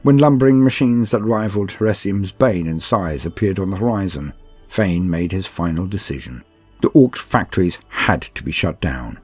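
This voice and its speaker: Deep, husky man narrating calmly. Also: dry recording, faint music behind. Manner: calm, steady, measured storytelling. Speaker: narrator reading a campaign book. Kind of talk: scripted narration of war lore; calm sleep-aid reading.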